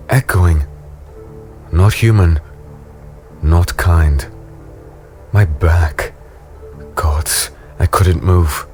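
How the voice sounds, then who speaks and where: A man playing a battered, weary warrior who narrates a dark fantasy audio drama in a grim, halting, brooding way. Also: sits low. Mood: pained, grim. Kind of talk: dramatic first-person scripted narration. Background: music.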